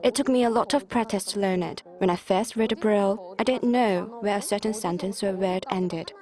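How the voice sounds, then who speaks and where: A woman gives a first-person account for a news interview. Her voice is medium in pitch for a woman. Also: normal speed, a little mellow.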